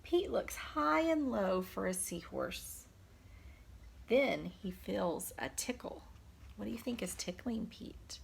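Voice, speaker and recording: A woman's voice: mid-range voice, expressive, takes it slowly, creaky, slightly rough. A woman preschool teacher reading a picture book aloud, expressively, putting simple questions to young children. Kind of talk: children's storytime read-aloud.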